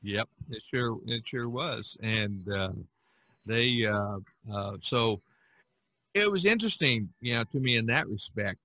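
A man hosting a casual podcast discussion, talking haltingly and often repeating his words. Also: mid-range voice, animated, sharp tone, no room sound, low-quality recording. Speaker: podcast host.

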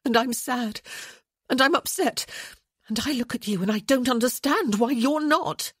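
Narrator voicing an impassioned, wounded young woman, high and swooping. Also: animated, unhurried, warm tone, slightly rough, no echo, studio quality. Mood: angry, upset. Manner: impassioned, accusing, pleading. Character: hurt, angry young woman confronting a close friend.

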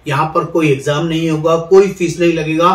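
A middle-aged man hosting a promotional explainer, seated in a studio with a lapel mic and pitching viewers in a persuasive, salesy way. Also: fairly even intonation, brisk. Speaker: insurance agent recruiter, channel host. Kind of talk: direct-to-camera promotional explainer. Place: indoor studio, seated in office chair. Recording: roomy echo, somewhat distant mic.

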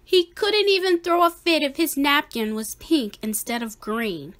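A woman reading a children's picture book aloud in a deliberate, expressive manner. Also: high-pitched. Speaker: storybook reader.

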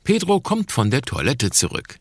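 A man's voice: medium pitch, huge pitch swings, moderate pace, a little hiss or hum. A man playing a friendly storybook narrator, reading scripted narration for a children's audio play. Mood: friendly, explaining. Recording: music behind.

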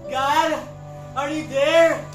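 A man's voice: extremely high pitch, steady tone, very slow, full character voice. A young man on an amateur school stage playing a scared, whiny runaway who pleads dramatically. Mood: pleading, remorseful. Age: teenager or young adult. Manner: dramatic, exclaiming. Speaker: student actor in a school play. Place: indoor school stage, far camera. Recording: echoey hall, distant camera, music behind.

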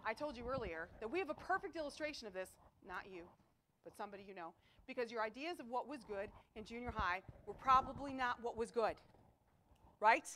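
A middle-aged woman preaching a chapel sermon to students in an auditorium, emphatic and animated, asking rhetorical questions, picked up by a distant camera. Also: high-pitched. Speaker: chapel speaker. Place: school chapel auditorium with stage.

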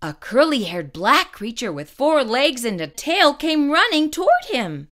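Bright, animated woman reading a storybook. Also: high-pitched, a little slow. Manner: expressive, carefully phrased for children. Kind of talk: children's picture-book read-aloud.

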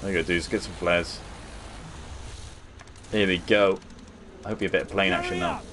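Laid-back gamer, lowish voice. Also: male voice, steady tone, moderate pace, background noise. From United Kingdom. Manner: chatty, laid-back, reads and answers chat. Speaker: gaming livestreamer. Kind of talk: casual live commentary over gameplay.

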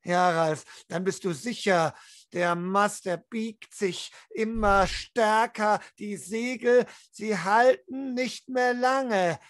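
A storyteller runs a tabletop role-playing game over a video call. The narration is dramatic and descriptive, broken by deliberate pauses, in a medium pitch with a close, dry sound. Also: no room sound. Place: indoor room, video call.

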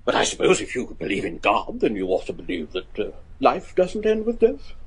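An actor playing a learned, excitable professor of werewolf lore in a gothic horror radio drama, theatrical and scholarly. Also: male voice, mid-range voice, normal speed, husky, heavily acted. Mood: puzzled, hesitant. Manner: theatrical, scholarly, hesitant stammers.

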